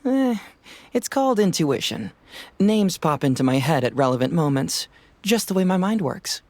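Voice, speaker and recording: Cocky young male game detective: light, very animated delivery, breezy and teasing. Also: fairly high pitch, normal speed, no echo. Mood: breezy, smug. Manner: breezy, teasing, self-assured.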